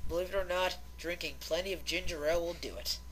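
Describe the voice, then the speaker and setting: A woman's voice: fairly low pitch, fairly even intonation, quick pace, slight background noise, poor audio. A woman co-hosting in casual banter, playful and teasing in the way she talks. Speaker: co-host and voice performer.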